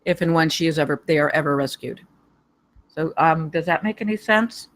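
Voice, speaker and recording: A woman's voice: sits low, moderate pace. A woman giving casual feedback in a hesitant, repetitive way, searching for words. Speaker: table read participant giving feedback.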